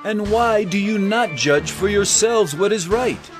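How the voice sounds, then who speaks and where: A man's voice: high voice, lively intonation, a little slow, music in the background. An actor playing an earnest, commanding male religious teacher in a scripture audio drama, preaching with solemn emphasis. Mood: exhorting, rebuking.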